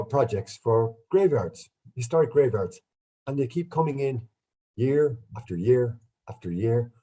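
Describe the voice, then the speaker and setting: An Irish man gives a relaxed, self-deprecating talk over a video call. His voice is very dark and husky, sitting a little low, and he sounds stuffed up from a cold. He speaks in an easygoing conversational lecture manner. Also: poor audio. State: bunged up with a cold. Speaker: guest speaker, archaeologist. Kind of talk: online lecture with slides.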